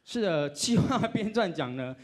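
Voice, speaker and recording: A man's voice: sits high, very animated, moderate pace, a little mellow. A man with a Taiwanese Mandarin accent presenting on stage as a radio DJ, playful and deferential in his banter. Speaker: radio DJ presenting award nominations. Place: on stage at an awards announcement event.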